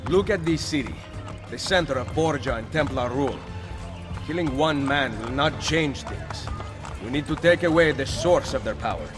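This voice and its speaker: A man's voice: a little high, normal speed, over music, lightly acted. A man voicing a determined young male assassin in a video game cutscene, earnest and curt.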